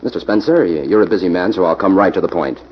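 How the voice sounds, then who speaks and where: An actor playing a calm, methodical investigator, courteous and businesslike, in an old-time radio drama. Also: male voice, low voice, fast talker. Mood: polite questioning. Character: calm, methodical male investigator, friendly and direct. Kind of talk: 1940s radio acting. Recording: noisy, muffled old radio recording.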